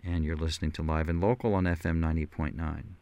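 A man hosting a radio interview in a studio, talking in a relaxed way with frequent fillers. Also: a bass voice, fast talker, a little hiss or hum. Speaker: radio host. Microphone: studio microphone.

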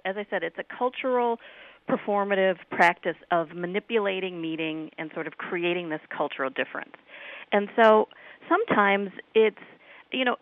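A woman's voice: medium pitch, dry recording. A woman professor with a Midwestern accent, answering radio interview questions in a thoughtful academic way, with many ums. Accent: Midwestern American. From United States. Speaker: professor guest on call-in radio. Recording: tinny phone line.

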